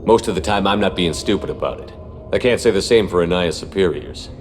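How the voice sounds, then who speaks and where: Actor as blunt male soldier, low voice, quick delivery, self-assured, game dialogue in a reverberant space. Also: soft background music, close to an everyday voice. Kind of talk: video game voice acting.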